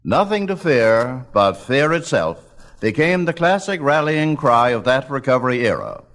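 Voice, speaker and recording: A man delivering scripted documentary narration in a measured, dramatic storytelling manner. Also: mid-range voice, big pitch swings, a bit bright, soft background music. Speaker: narrator of a radio history album.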